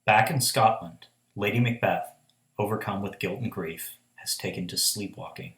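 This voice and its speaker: A man narrates a radio play from a script. His voice is on the low side, with clear echo from the room around him. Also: moderate pace, a bit bright, slight background noise. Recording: echoey room, roomy sound.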